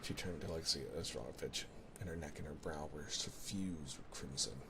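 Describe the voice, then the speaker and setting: A man reading a bedtime story in soft-spoken ASMR narration, occasionally stumbling over the text. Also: extremely low pitch, a little fast, gravelly. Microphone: binaural ASMR microphone. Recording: ocean ambience noise behind voice. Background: ocean sounds.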